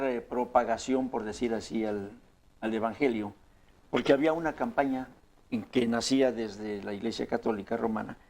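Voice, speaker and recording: Guy hosting a church talk show, earnest, kinda slow with lots of fillers. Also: mid-range voice, darkish tone. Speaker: host. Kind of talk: religious talk show conversation.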